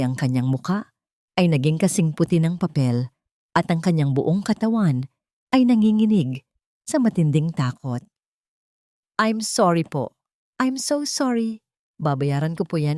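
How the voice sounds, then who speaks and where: A woman's voice: low voice, dark tone, dry recording, studio quality. A woman storyteller reading a scripted, dramatic story narration.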